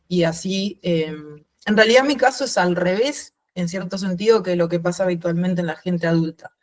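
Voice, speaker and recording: A woman in her thirties with a mixed Uruguayan and Spanish accent, speaking reflectively and haltingly as a guest in an informal interview. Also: fairly low pitch, a little mellow. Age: 30s. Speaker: podcast guest. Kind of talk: informal interview, personal account.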